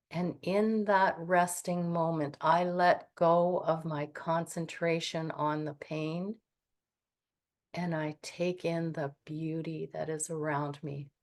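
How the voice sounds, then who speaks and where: A woman guiding a meditation, speaking in a calm, gentle and soothing way with long pauses. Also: low voice. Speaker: meditation guide. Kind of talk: guided meditation.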